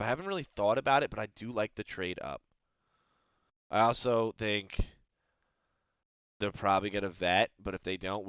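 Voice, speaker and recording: A man with a low, smooth voice hosts his own sports video, reading a mock draft aloud. He reacts casually and with animation between the lines. The sound is dry and a little dull, as if from a modest home mic. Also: no room sound. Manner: animated, reads passages aloud then reacts with quick asides.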